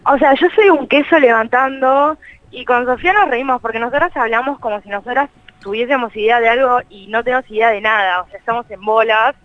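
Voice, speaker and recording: A woman's voice: on the high side, brisk, no echo, highs cut off. A young Argentine woman chatting casually as an interview guest, with a colloquial, slangy and self-deprecating manner. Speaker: interview guest, co-creator of a meme page. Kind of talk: casual chat in a radio interview.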